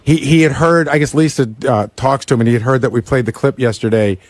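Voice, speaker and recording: Fast-talking man on radio, very dry sound. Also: medium pitch, steady tone, darkish tone, dry recording. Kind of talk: casual recounting on radio.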